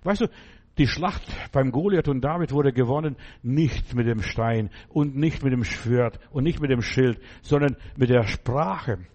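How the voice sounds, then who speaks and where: A man's voice: medium pitch, measured pace, darkish tone, lo-fi sound. A man preaching a fervent, emphatic sermon in German, which is not his first language, repeating phrases for emphasis.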